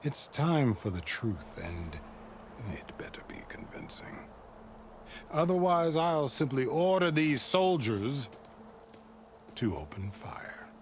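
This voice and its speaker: A man's voice: medium pitch, very animated, slow, long pauses, a little hiss or hum. An actor playing a shrewd, commanding male leader in a video game, speaking formally and imperiously with cool threat. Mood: stern, skeptical. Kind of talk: video game cutscene acting. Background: clear cinematic music.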